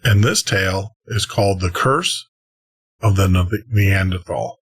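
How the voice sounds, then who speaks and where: A man's voice: deep voice, unhurried, a bit bright, husky. A man hosting a late-night podcast, chatting casually and rambling with chuckles before introducing an old radio show. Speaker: podcast host.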